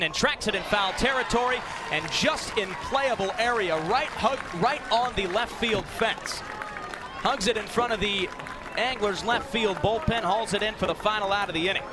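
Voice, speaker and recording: A young man calling live sports play-by-play for radio in crisp, clipped announcer phrasing. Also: high-pitched. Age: young adult. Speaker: play-by-play broadcaster. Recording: live sports broadcast with venue background noise.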